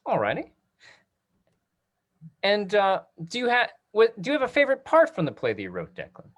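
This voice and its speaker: A man's voice: high voice, huge pitch swings, normal speed. A man moderating a casual discussion in an encouraging, affirming way.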